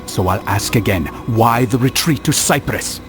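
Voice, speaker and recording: Game hero: man playing a terse, wry assassin, mid-pitched, lively inflection, commanding. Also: natural voice. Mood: interrogating. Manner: measured, dry, commanding. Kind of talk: dramatic video game acting. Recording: loud music behind the voice.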